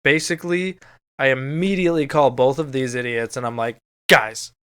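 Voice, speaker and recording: Clear-voiced American man, casual podcast co-host. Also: mid-range voice, fairly even intonation, moderate pace, a bit bright. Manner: casual, profane. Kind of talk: casual podcast chat, storytelling.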